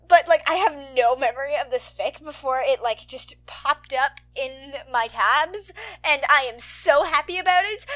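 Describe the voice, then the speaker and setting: A woman hosting her fan-fiction readings, chatting casually to listeners in a gushing, rambling, slangy way, peppered with "like". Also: sits high. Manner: gushing, excitable, slangy, frequent "like". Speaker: podfic reader and host.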